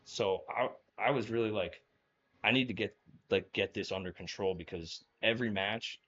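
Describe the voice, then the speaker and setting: A man's voice: lowish voice, normal speed, a bit bright, husky, dull audio. A young man from New Jersey telling stories as a guest in a casual interview, rambling with lots of fillers and laughing often. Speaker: guest, former college wrestler.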